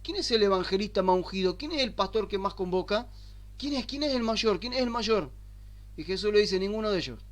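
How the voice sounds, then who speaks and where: High-voiced Argentine man preaching. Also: animated. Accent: Argentine Spanish. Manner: emphatic, slows down for quoted scripture, rhetorical questions. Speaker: pastor. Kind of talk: sermon teaching.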